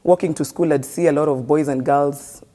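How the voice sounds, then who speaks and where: A woman's voice: low-pitched, fairly even intonation, normal speed, dark tone. A Kenyan woman, a guest in an interview, telling her life story earnestly and switching between English and street slang.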